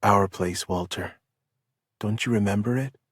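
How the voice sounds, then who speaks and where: A man voicing a heavily drugged male war veteran, speaking in a slow, gruff drawl with a Southern US accent. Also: on the low side, no echo, studio quality. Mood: slow, haunted.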